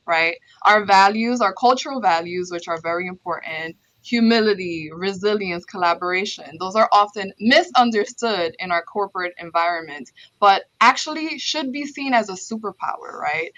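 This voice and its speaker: An upbeat woman moderating an online panel, often checking in with a quick "right?". Her voice comes over a dull, dry video call line with little room sound. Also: medium pitch, a bit bright, no room sound. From Dominican-American, in New York. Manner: upbeat, repeats "right?" for emphasis.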